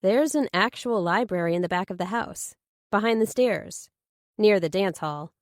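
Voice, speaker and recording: A woman's voice: medium pitch, expressive, normal speed, no echo, lightly acted. A reserved young heroine of a thriller audiobook, played by a woman narrator. She answers in an understated, matter-of-fact way, a little hesitant. The recording is dry. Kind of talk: audiobook character dialogue.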